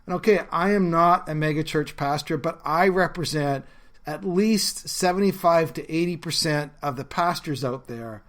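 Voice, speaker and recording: A man with a bad cold talks through his podcast, his voice sitting a bit lower and rougher than usual. He speaks earnestly and conversationally, pausing on fillers as he thinks aloud. His pitch still sits a little high for a man. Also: a bit bright. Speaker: podcast host and pastor. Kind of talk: reflective solo podcast talk.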